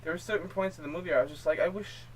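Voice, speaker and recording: A man's voice: on the high side, rapid-fire. Man reviewing films, off the mic. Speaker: co-host of a film review show. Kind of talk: casual film review chat. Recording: distant mic, faint room noise.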